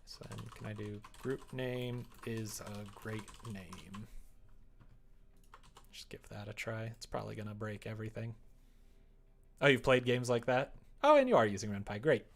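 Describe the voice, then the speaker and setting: A man's voice: lowish voice, animated, moderate pace, gravelly, pauses often, noisy background. A man streaming live, chatting casually and muttering to himself as he thinks aloud while working at the computer.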